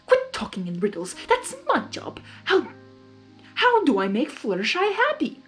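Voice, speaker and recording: A woman's voice: high-pitched, huge pitch swings, unhurried, soft background music, voice pushed up, heavily acted. A woman voicing an impatient, boastful male spirit of chaos in a storybook reading, exasperated and demanding. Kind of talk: dramatized fanfiction storytelling.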